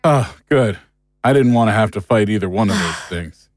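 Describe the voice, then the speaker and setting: Man acting wry adventurer, very lively pitch. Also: moderate pace, no room sound, close to an everyday voice. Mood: relieved. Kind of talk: audio drama acting.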